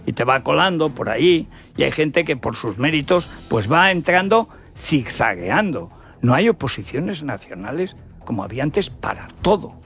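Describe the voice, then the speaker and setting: A man's voice: a little high, very animated, normal speed, gravelly, a bit bright. An older man with an accent from Spain giving an opinionated, colloquial radio commentary, indignant and full of rhetorical questions. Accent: Spanish from Spain.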